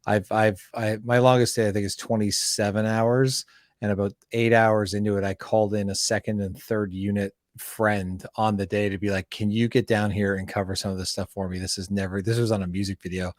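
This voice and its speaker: A man hosting a casual filmmaking interview, talking in a halting way and repeating words as he forms his thoughts. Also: on the low side, flat delivery, no room sound, studio quality. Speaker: interview host and fellow filmmaker.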